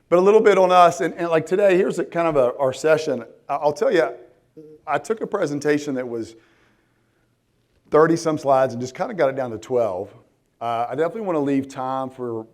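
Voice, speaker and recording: A hoarse man in his forties speaks to a room at a conference. His voice is tired and worn out. He talks loosely, with frequent ums, uhs and restarts. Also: mid-range voice. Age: 40s. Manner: casual, many ums and restarts. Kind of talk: live conference talk. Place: conference hall.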